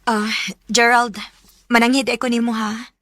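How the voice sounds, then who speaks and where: Radio drama role: emotional young Cebuano girlfriend, high-pitched and sharp, melodramatic. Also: flat delivery, measured pace. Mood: subdued. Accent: Cebuano Filipino. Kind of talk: Bisaya radio drama acting.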